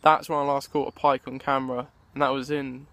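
A man's voice: mid-range voice, flat delivery, brisk, fairly bright tone. A young man, talking casually to viewers while fishing on a riverbank, filmed on a handheld camera at arm's length. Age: young adult. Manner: chatty, rambling, addresses viewers as guys. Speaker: fishing vlogger. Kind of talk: casual outdoor vlog. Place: riverbank outdoors in winter.

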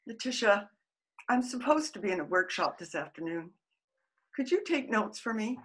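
An older woman playing a genteel, polite 1908 suffragist in a radio play, with an expressive, mannered delivery. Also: medium pitch, normal speed. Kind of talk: period radio play acting. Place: at home on a video call.

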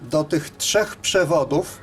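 A man's voice: on the high side, expressive, takes it slowly, music in the background. A man giving scripted, methodical and matter-of-fact instructional narration as the presenter of a technical tutorial.

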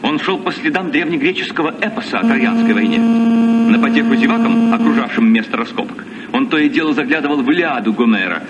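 A man's voice: high voice, fairly quick, lo-fi sound, close to an everyday voice. Radio play role: scholarly sceptical male captain played by an actor, lecturing, on a noisy, distant old recording. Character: learned, sceptical sea captain citing scholarship. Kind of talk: Soviet children's radio play acting.

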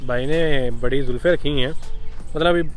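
A man's voice: mid-range voice, moderate pace, over music. A young man in his twenties with a Pakistani Urdu accent, chatting casually to his phone camera outdoors on a farm, mixing English words and pious phrases into his talk. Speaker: vlogger. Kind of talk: casual outdoor travel vlog. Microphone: phone camera at arm's length.

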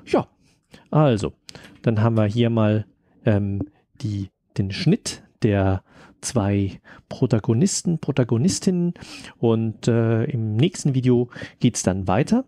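Ein Mann kommentiert locker ein Tutorial und denkt beim Arbeiten zögernd laut mit. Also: male voice, fairly low pitch, animated, takes it slowly, warm tone, dry recording, studio quality. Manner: hesitant, thinking aloud while working. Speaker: tutorial presenter, audio drama editor. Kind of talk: casual screen-recorded tutorial commentary.

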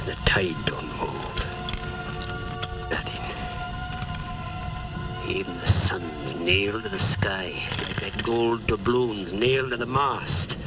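A man's voice: on the low side, poor audio. A man playing a superstitious old Manx sailor in an audio drama, speaking in an eerie, terse, incantatory way. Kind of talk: vintage audio drama acting. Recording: loud music under the voice.

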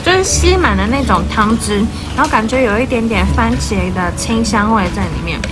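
A woman's voice: on the high side, animated, a little fast, over music. A woman in her thirties casually reviewing dishes in a small restaurant, filmed on a phone held close. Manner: chatty, describing dishes between bites. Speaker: food vlogger. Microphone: phone camera held close.

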